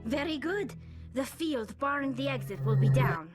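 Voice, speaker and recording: A woman's voice: sits high, animated, moderate pace, over loud music. A woman playing a tough, plain-spoken soldier in a space squad in a video game, matter-of-fact and hard-edged. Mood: grim, determined. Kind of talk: scripted game dialogue.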